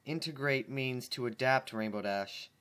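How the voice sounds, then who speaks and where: A man's voice: mid-range voice, measured pace, fairly bright tone, clear, resonant tone, close to an everyday voice. A man reading the lines of an earnest, bookish female pony in an explaining tone, close to his own voice. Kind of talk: reading a fan story aloud.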